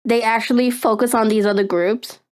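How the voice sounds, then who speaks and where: Chatty high-voiced young woman streamer. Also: a little fast. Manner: rambling, excitable, lots of fillers and laughs. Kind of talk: casual live-stream chat.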